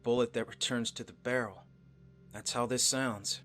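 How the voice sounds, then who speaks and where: Visual novel game: brooding young male angel soldier, played by a man, mid pitch, monotone and measured, clear music underneath. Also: natural voice. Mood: solemn. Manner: flat, monotone, measured delivery. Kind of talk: scripted visual novel narration and dialogue.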